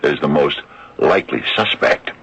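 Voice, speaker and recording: Very deep, gravelly, weary sheriff. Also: male voice, expressive, fairly bright tone, full character voice. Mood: firm. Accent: American. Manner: deliberate, folksy, sardonic. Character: weary, conscience-stricken small-town sheriff, blunt and bitter. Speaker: radio actor. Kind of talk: old-time radio drama acting. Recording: dull, band-limited old radio recording.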